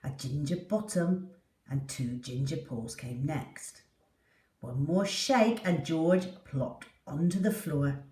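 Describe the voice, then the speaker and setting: A woman's voice: low voice, slow, dark tone. A woman reading a children's story aloud with theatrical, dramatic delivery. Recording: echoey room, distant from the mic.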